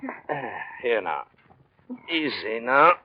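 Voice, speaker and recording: A man's voice: medium pitch, very animated, slow pace, dry recording. An actor playing a conflicted, guilt-ridden married man in a 1940s radio drama, speaking earnestly and hesitantly with an agitated edge. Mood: flustered. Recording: dull vintage 1940s radio recording.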